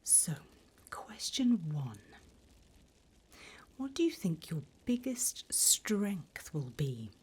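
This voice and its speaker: A woman's voice: medium pitch, sing-song, drawn-out words, pauses often, darkish tone, full character voice. A woman voicing a sly, teasing demon job interviewer from hell in a whispered, mock-formal ASMR roleplay. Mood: teasing menace. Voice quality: breathy whisper.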